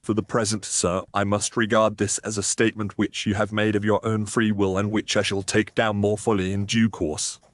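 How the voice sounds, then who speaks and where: A man voicing a grave, dutiful Victorian police inspector with a formal, deliberate, official manner. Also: fairly low pitch, flat delivery, no echo, clean studio sound, natural voice. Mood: official, firm.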